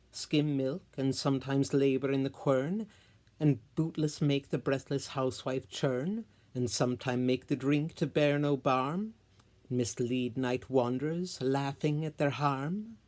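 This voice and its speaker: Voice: mid-range voice, a little mellow, natural voice. A solo reader voicing a nimble fairy servant in lilting, theatrical Shakespearean verse. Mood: curious, teasing.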